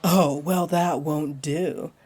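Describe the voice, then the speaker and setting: A woman voicing a flirty, comforting female jaguar beastling in a roleplay audio, with a deep, mellow voice and a teasing, soothing manner. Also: steady tone, slow pace, breathy edge, full character voice. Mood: flirty, tender.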